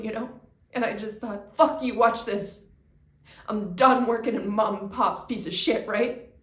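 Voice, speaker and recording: A woman performing a dramatic monologue as a grieving, self-doubting young cook, speaking in a halting, confessional way. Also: fairly high pitch, darkish tone, natural voice. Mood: bitter, heated. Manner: halting, hesitant, confessional, with stammered restarts. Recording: echoey room, clear background noise.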